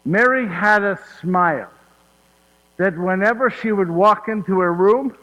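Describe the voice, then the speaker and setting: A man's voice: high voice, unhurried, pushed and bright. A man playing Joseph, fond storyteller. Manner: folksy, laughing, asks "yes?" often. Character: warm old Joseph of Nazareth, chatty storyteller. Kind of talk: one-man stage monologue. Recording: hall reverb.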